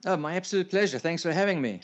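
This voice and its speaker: A man's voice: fairly high pitch, expressive, quick pace. A man in his fifties with a South African accent, telling his story casually and good-humouredly as a radio guest. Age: 50s. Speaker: radio show guest, entrepreneur. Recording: slightly dull remote radio connection.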